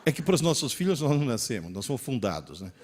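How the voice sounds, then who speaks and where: A man in his sixties giving a lively lecture, telling stories, joking and leading the audience in exercises. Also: medium pitch, fast talker. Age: 60s. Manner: animated storyteller, joking, coaxing the audience.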